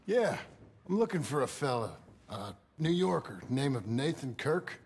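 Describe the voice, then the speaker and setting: A man playing a gruff, plainspoken outlaw turned rancher in a video game, with a gravelly, laconic American Western drawl. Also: medium pitch, sing-song, a little slow, darkish tone, exaggerated voice. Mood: inquiring. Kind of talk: naturalistic video game acting. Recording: music under the voice.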